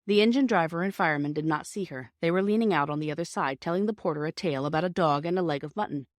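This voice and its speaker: A woman's voice: sits low, fast, no room sound, clean studio sound. A woman narrating a children's story from a script as an audiobook reader.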